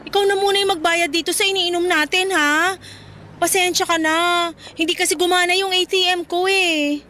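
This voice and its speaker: A stubborn young Filipino woman in a radio drama. Her voice is extremely high and piercingly bright, with light music underneath. She talks back with slangy, defiant sass. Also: fairly even intonation, normal speed. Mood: offhand, apologetic. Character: brash stubborn young woman who uses men for money.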